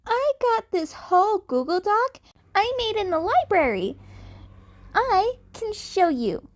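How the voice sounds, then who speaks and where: A woman's voice: extremely high pitch, big pitch swings, slow, pitched above the performer's own voice, heavily acted. A woman voicing a cheeky young cat boy in a fan fiction audiobook, polite and innocent on the surface but defiant. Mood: innocent, smug.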